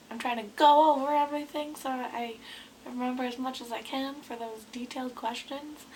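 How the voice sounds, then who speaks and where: A woman in her twenties with a hoarse, sick voice, chatting casually to camera in a rambling way with lots of ums. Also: sits high, slight background noise, low-quality recording. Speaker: beauty vlogger.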